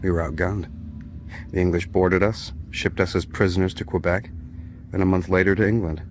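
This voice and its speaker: An actor playing a weary, steady captured American sailor in a radio drama, speaking in a measured way. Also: male voice, sits low, slight background noise, lightly acted. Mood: weary, calm.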